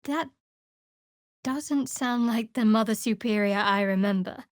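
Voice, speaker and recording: A close, dry-sounding, fairly high young woman's voice acted by a woman for a fantasy game cleric. She is guarded and devout, with a measured delivery and a wry, secretive edge. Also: no echo, lightly acted. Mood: guarded, solemn. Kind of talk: fantasy video game voice acting.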